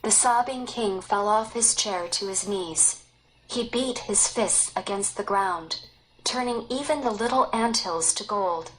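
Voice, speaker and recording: A woman reading a story aloud in an expressive, deliberate way. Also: mid-range voice, a little hiss or hum, rough recording. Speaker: story narrator. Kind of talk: scripted read-aloud storytelling.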